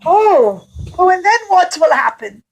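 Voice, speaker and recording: A woman voicing an excitable, generous Egyptian king in an exclamatory, emphatic children's storytelling style. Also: extremely high pitch, measured pace, pitched above the performer's own voice, full character voice. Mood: surprised, worried.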